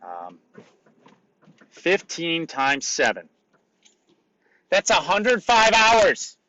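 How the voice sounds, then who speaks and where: A man hosting a solo motivational podcast, raspy today, talking in an emphatic, repetitive coaching way. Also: a little high, wildly swooping pitch, drawn-out words, sharp tone, no room sound, dull audio. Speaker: podcast host.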